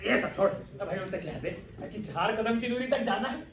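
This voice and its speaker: A man's voice: high voice, animated, normal speed. A man playing a miserly, vain old father and master in a comic stage play, with a theatrical, blustering, projected delivery. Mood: scheming, amused. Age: young adult. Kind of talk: comic Hindi-Urdu stage play acting. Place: indoor theatre stage, distant microphone, audience. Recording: echoey hall from far off, audience noise.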